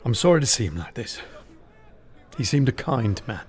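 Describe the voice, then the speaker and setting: A man's voice: medium pitch, very animated, normal speed, husky, faint music behind. A man playing a polite, weary butler, deferential and restrained, in a radio drama. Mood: subdued concern. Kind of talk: theatrical radio drama acting.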